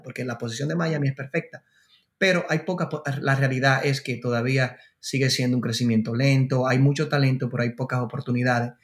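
Male podcast host, mid-pitched, conversational interviewer who restates and rephrases as he goes.

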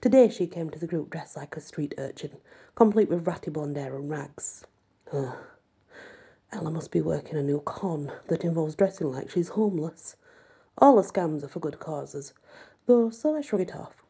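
A woman's voice: deep voice, animated, normal speed, mellow, slightly rough. A woman reading a first-person audiobook story in a sardonic manner. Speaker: audiobook reader.

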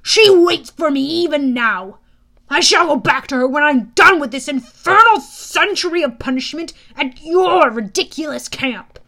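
A woman voicing a haughty, bitter, menacing male wine god in an audiobook, with a sneering, deliberate, pompous delivery. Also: sits high, lively intonation, bright, forceful tone, pitched above the performer's own voice, exaggerated voice. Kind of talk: audiobook character voice.

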